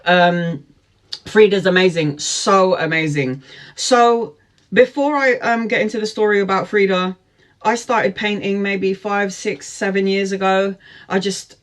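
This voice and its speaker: High-voiced chatty man. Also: fairly even intonation, unhurried, fairly bright tone. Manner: rambling, chatty, frequent fillers and asides. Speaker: tarot reader. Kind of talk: casual storytelling to camera.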